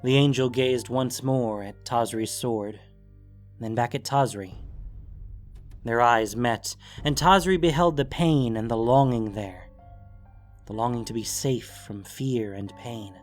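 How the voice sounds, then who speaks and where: A man reading scripted story narration with dramatic pauses and deliberate phrasing. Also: mid-range voice. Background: music.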